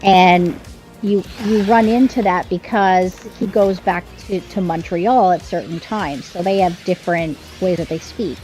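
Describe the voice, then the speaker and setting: A woman's voice: on the low side, unhurried, darkish tone, faint music behind, low-quality recording. A woman, an author talking as a guest on a live stream, explaining earnestly and often restarting her sentences. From Canada. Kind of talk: casual conversation on a live stream.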